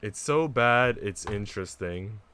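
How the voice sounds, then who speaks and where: A man's voice: lowish voice, animated, measured pace, a bit bright. A man chatting informally and casually as he livestreams a game. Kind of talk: casual gaming stream chat and reading a visual novel aloud.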